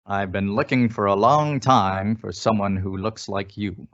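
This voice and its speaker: Lowish, coaxing ex-police chief, played by a man. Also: steady tone, measured pace, fairly bright tone. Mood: probing. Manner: insinuating, persuasive, folksy. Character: folksy, coaxing male former small-town police chief. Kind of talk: old-time radio suspense acting. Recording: dull, lo-fi audio.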